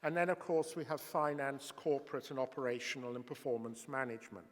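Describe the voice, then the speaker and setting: A man giving a formal conference presentation as a public health official, measured and explanatory, with occasional hesitations. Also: mid-range voice, little pitch movement. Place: conference hall. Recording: reverberant lecture hall.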